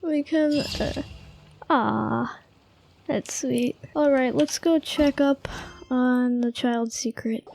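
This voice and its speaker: A woman's voice: high voice, slow, gravelly, a little mellow, slight background noise. A tired-sounding woman hosting a gaming video, commenting casually and informally with wry asides as she reacts. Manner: informal, wry asides, echoes game lines. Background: game music.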